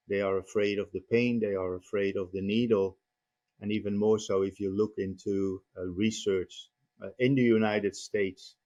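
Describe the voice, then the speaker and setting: A man in his fifties with a Dutch accent, a company CEO answering interview questions in a measured way, pausing often on "uh". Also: fairly low pitch, darkish tone. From Netherlands. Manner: measured, many "uh" pauses. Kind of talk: podcast interview.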